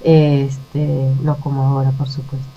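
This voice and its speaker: A middle-aged woman with an Argentine accent, answering reflectively in a conversational interview, pausing and searching for words. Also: extremely low pitch, monotone. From Argentina, Buenos Aires province. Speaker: interview guest, poet. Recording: muffled lo-fi with mains hum.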